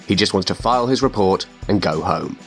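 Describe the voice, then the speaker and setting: A man's voice: low-pitched, brisk, gravelly. A man giving a scripted introduction as a tabletop game player. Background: music.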